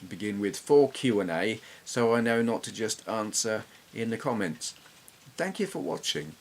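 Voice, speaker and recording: Low-voiced middle-aged English man, reminiscing casually. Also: expressive, moderate pace, a little hiss or hum. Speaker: retro gaming YouTuber. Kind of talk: casual gameplay commentary and reminiscence.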